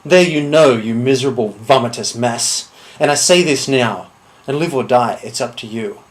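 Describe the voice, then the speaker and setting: A man playing a menacing swordsman in a deliberate, contemptuous, theatrical monologue. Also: medium pitch, lightly acted. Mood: cold menace. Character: cold, menacing swordsman threatening a slow revenge. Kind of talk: dramatic monologue.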